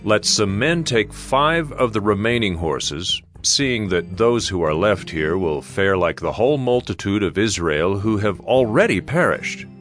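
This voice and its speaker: A man's voice: fairly low pitch, expressive, measured pace, few pauses, fairly bright tone, faint music behind. A man playing a grave, practical servant of a king in a dramatized audio Bible. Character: king's servant giving grave, practical counsel.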